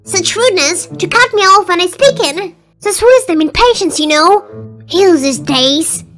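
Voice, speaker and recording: A woman's voice: extremely high pitch, animated, unhurried, a bit bright, soft background music, exaggerated voice. A woman voicing a tiny, proud, old-fashioned dragon spirit in a comic dub, speaking formally and wordily. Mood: scolding. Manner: formal, pompous, wordy.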